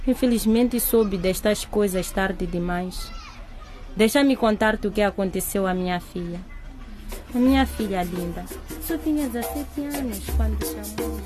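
A woman's voice: mid-range voice, measured pace, darkish tone, soft background music, lightly acted. A woman playing a middle-aged grieving mother in a radio drama, frank, emphatic and earnest. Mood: sorrowful, frank. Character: grieving mother, blunt, guilt-ridden, advising a younger woman.

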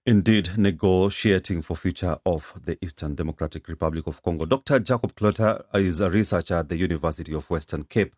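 A man from Uganda co-hosting a radio news magazine in a studio, relaxed and easygoing. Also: low voice, fairly quick, hardly any pauses, dry recording. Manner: relaxed, laughs easily, reads comments. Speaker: radio co-host.

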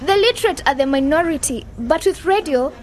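An actress playing an excitable, outspoken young woman in an educational radio drama, with an emphatic, teasing manner. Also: high voice, a little fast. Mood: arguing.

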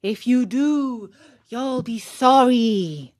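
A woman voicing a small male blackbird in a storybook, giving sharp, clear, well-meaning warnings. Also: on the high side, very slow, pitched above the performer's own voice. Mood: urgent warning.

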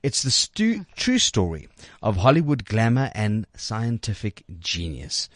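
Low-voiced South African male radio host. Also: wildly swooping pitch, a little slow, a bit bright, no echo, clean studio sound. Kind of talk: chatty radio interviewing.

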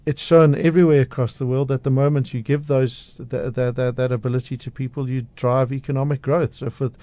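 A man's voice: medium pitch, level tone, brisk, warm tone, no echo. A man from South Africa speaking as a guest on a radio show, outspoken and passionate, often restarting his sentences. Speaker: radio guest, media analyst. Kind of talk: radio interview. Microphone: studio microphone.

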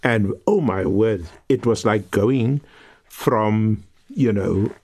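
South african guy, middle-aged, lowish voice, earnest and reflective, keeps restarting his sentences. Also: takes it slowly, darkish tone, husky. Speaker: guest professor. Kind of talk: podcast interview.

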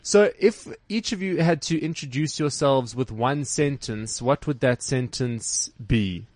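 A man's voice: mid-range voice, measured pace, a little mellow, no echo. A man hosting a radio chat show, teasing his guests and laughing often. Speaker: radio host.

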